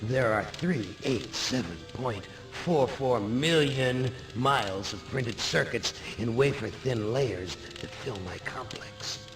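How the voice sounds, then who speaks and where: An actor playing a sentient supercomputer that hates humanity, in a deliberate, menacing dramatic monologue. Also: male voice, medium pitch, lively intonation, poor audio. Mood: cold hatred. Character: sentient supercomputer seething with cold hatred for humanity. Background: music.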